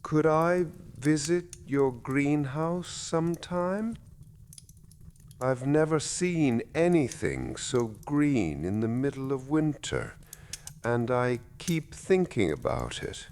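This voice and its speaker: A man voicing a shy, earnest young woman in a bedtime story, hesitant, soft and sincere. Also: mid-range voice, very animated, slow, clear, resonant tone, pitched above the performer's own voice. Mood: shy, hopeful. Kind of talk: slow storybook narration.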